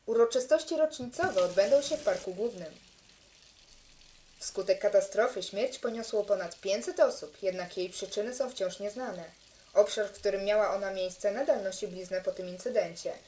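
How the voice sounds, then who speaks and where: A woman's voice: medium pitch, moderate pace, darkish tone, a little hiss or hum, lightly acted. A woman playing a composed television news reader, delivering formal scripted news in an anime dub.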